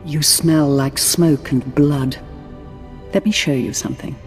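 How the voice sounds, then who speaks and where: An actress playing a haughty, seductive female Sith lord in a video game, deliberate and sultry. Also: deep voice, steady tone. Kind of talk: dramatic video game dialogue. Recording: long reverb, music behind.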